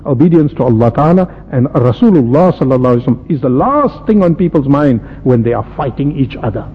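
A man from South Africa preaching a sermon, impassioned, firing rhetorical questions and swinging from loud peaks to soft low asides. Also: animated, fast. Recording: lo-fi phone-like radio broadcast with faint hiss and long hall reverb.

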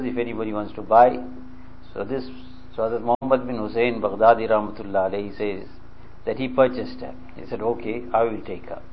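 A man's voice: on the low side, slight background noise. Man preaching in a muffled recording. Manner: unhurried, repeats phrases, explains terms as he goes. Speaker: religious speaker. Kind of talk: sermon storytelling.

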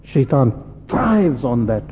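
A man from South Africa preaching a sermon, impassioned, firing rhetorical questions and swinging from loud peaks to soft low asides. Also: sing-song, slow pace. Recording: lo-fi phone-like radio broadcast with faint hiss and long hall reverb.

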